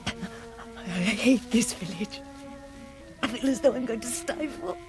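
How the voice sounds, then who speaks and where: Nervous young woman, highish voice. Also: expressive, slow pace. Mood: frightened. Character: uneasy, awed young woman hiding and watching. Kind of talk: radio drama acting. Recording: music under the voice.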